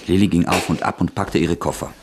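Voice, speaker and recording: A man narrating a radio drama in a measured, even manner. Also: sits low, heavy background noise. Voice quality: husky, gravelly.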